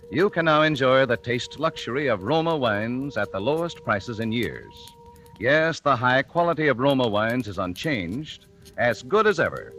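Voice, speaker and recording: An American man reading a 1940s radio sponsor advertisement with polished, measured salesmanship. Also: mid-range voice, fairly bright tone. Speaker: sponsor announcer. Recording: vintage 1940s radio broadcast with music.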